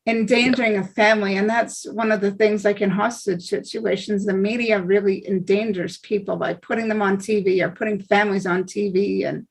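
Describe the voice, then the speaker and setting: A woman talking with real passion, her phrases tumbling out in quick run-on bursts. Her voice is middle-pitched with a gravelly, fry-tinged edge. She is chatting casually over a video call. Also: steady tone, poor audio. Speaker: theater actor in a table read. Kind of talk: casual panel discussion.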